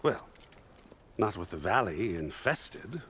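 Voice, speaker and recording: A pompous, indignant scholar-priest from a video game. His delivery is theatrical and animated, with formal archaic wording and an unhurried, declaiming pace. Some ambient noise sits behind him. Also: lowish voice, full character voice. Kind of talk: video game cutscene acting.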